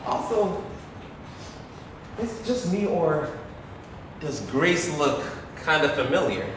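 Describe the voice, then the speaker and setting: A man's voice: fairly high pitch, lively intonation, takes it slowly. A man acting in a stage play as a wry, persuasive, scheming grown son of a wealthy family. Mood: wry, conspiratorial. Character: scheming, pragmatic adult son of wealthy businessman. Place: theatre stage. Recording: echoey theatre, distant mic, noisy.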